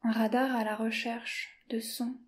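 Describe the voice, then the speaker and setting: A woman teacher guiding a yoga nidra session in a slow, soft-spoken, calm way, often dropping to a whisper. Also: a little high. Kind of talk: guided meditation.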